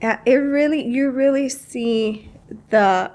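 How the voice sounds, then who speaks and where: A woman's voice: high voice, slow pace, a little mellow. A woman hosting a casual podcast interview, affirming and agreeing with her guest as she talks. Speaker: podcast host interviewing an author.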